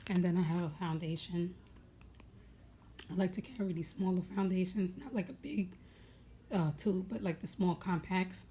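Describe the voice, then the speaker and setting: A young woman with a raspy voice chatting casually at home, rambling with many fillers as she goes through her makeup bag. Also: fairly low pitch, normal speed, long pauses, mellow, a little hiss or hum. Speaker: beauty vlogger.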